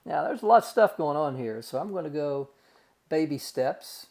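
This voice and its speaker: A man's voice: fairly high pitch, expressive, moderate pace, gravelly, darkish tone. A man teaching a recorded math lesson, working through calculations step by step in a folksy way and calling his students guys and gang.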